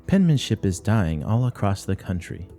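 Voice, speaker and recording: A man's voice: fairly low pitch, very animated, moderate pace, mellow, faint music behind. A man voicing a strict older nun who runs a school, speaking curtly and moralizing in a play reading. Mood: severe, lecturing. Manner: curt, severe, moralizing.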